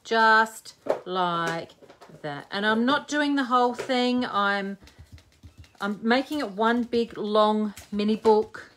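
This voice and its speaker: A woman's voice: fairly high pitch, slow pace, a bit bright, a little hiss or hum. A woman in her forties chatting and joking as she demonstrates a craft project live. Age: 40s. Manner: chatty, jokey, thinks aloud while working. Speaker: craft video host. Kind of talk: live crafting demo chat.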